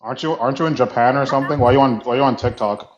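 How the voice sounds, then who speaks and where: A man from Canada chatting casually with friends online, tossing out profane jokes and teasing. His voice sits at a middle pitch. The sound is dull and lo-fi. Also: racing. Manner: profane joking banter. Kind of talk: casual group voice chat.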